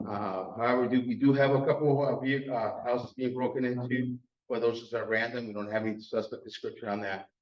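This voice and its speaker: A police officer giving a crime update at a neighborhood meeting, halting with many fillers, speaking from across a hall. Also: male voice, mid-range voice, fairly even intonation. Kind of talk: community safety report. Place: indoor community hall. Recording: distant echoey muffled room audio.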